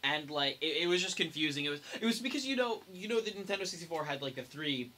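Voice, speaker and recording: A man's voice: on the high side, animated, quick pace, pushed and bright, slight background noise. A young man chatting casually and jokingly as a podcast co-host. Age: young adult. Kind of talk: casual chat about video games and films.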